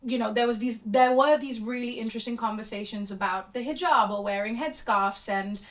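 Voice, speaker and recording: A woman's voice: a little high, brisk, a bit bright. A woman from India interviewing a playwright, asking long reflective questions with some fillers.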